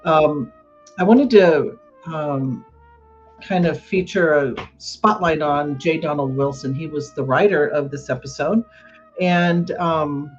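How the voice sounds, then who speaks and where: A woman hosting a podcast, giving casual solo commentary in a halting way. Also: deep voice, lo-fi sound, soft background music. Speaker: podcast host.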